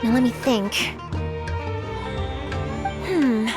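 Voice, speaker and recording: A woman's voice: a little high, animated, extremely slow. An actress voicing an eager young female news reporter who asks lots of questions, in a game cutscene. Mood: bubbly. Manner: bright, inquisitive questioning. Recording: game soundtrack music behind.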